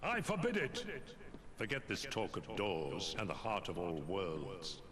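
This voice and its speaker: Casting: older scholarly master, low and dramatic, commanding, formal, game cutscene. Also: male voice, sing-song, unhurried, a bit bright, a little hiss or hum, full character voice. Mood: stern, forbidding. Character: stern, authoritative older male scholar master.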